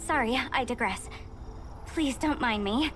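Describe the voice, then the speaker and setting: A woman as an earnest, high-voiced young researcher. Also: measured pace, lightly acted. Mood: studious, polite. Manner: formal, expository, apologetic. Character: earnest young female researcher, dutiful and polite. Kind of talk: scripted video game dialogue. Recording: music behind the voice.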